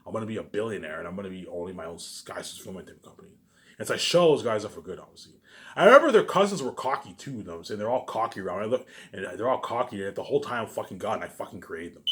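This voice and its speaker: Male vlogger, low-pitched and very quick, ranting boastfully with heavy repetition, swearing and sudden loud surges. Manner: repetitive, restarting phrases over and over. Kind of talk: rambling spoken monologue to online viewers.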